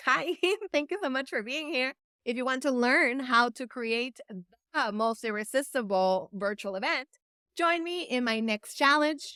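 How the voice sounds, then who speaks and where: A woman's voice: on the high side, pushed and bright, clear, resonant tone, no echo, studio quality. A woman from El Salvador whose first language is Spanish, an event producer giving an earnest, encouraging talk to camera and stretching words for emphasis. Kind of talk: direct-to-camera motivational talk.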